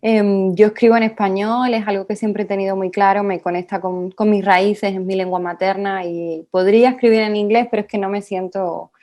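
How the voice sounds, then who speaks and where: A woman in her thirties with an Andalusian accent speaks in a middle-pitched voice. She answers thoughtfully, restarting her phrases and checking in with tag questions as she goes. Her delivery is measured and personal. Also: steady tone, darkish tone. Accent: Andalusian Spanish. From Spain. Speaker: writer being interviewed. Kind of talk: reflective interview answers.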